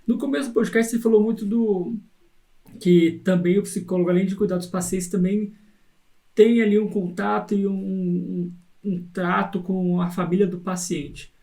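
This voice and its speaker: High, mellow man hosting. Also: steady tone, normal speed, gravelly. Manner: frequent short agreeing replies. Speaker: podcast host. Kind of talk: conversational interview.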